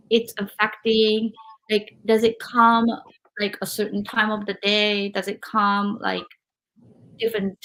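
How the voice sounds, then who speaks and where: Slow, hesitant woman. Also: medium pitch, fairly bright tone, low-quality recording. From Japan. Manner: hesitant, searching for words, many fillers. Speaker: podcast host. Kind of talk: interview podcast.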